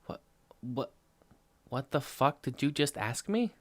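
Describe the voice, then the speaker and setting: A man's voice: mid-range voice, animated, slow, slight background noise. A man playing a surly, sarcastic, foul-mouthed grudging informant in an audio drama. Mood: mocking. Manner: sarcastic, irritable, cursing.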